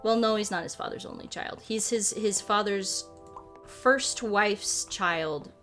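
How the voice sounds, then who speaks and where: A woman streaming a game, chatting casually in a rambling, halting way with self-corrections and playful, cutesy phrasing. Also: mid-range voice, a bit bright. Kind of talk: casual chat while playing a game. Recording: music behind the voice. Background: music.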